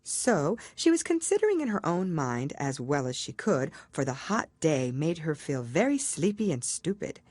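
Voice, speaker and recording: A woman narrating a children's storybook as an audiobook reader, in an expressive style. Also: low voice, normal speed, hardly any pauses, no echo, clean studio sound.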